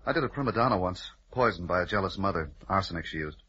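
An actor playing a wry, casual lab chemist, unfazed by gruesome work, in an old-time radio drama. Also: male voice, sits low, animated, fast, a bit bright, husky, full character voice. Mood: wry, amused. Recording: muffled old radio recording.

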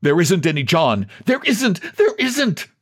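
A man's voice: high voice, expressive, normal speed, gravelly, voice pushed up, full character voice. A man voicing a desperate, timid, haggard man with a pleading, wailing manner in a story reading.

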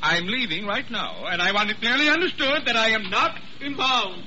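A man's voice: sits high, animated, moderate pace, pushed and bright, muffled recording, exaggerated voice. A man playing a fretful, fussy, panicky character in an old-time radio drama, sounding flustered. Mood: indignant, panicky. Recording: faintly noisy, band-limited vintage radio recording.

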